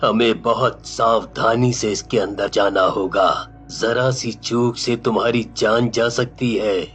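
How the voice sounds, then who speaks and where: Man playing a slow, sorrowful ghost soldier. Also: fairly low pitch, fairly even intonation, a bit bright, soft background music. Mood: grave warning. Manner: drawn-out, solemn, pleading delivery. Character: sorrowful male ghost soldier, cursed and weary. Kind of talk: dramatic cartoon story dialogue.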